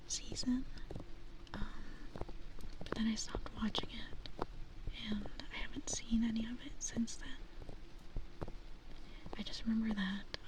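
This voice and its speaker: Slow, whispering woman streamer. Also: fairly high pitch, far from the mic, rough recording. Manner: soft, nervous, giggly chatter with chat. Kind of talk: whispered ASMR live stream. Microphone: binaural microphone with ears.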